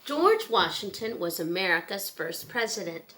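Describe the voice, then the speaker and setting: A woman reading a children's book aloud in a simple, measured storytelling manner with pauses. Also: mid-range voice, sing-song, a bit bright, slight background noise.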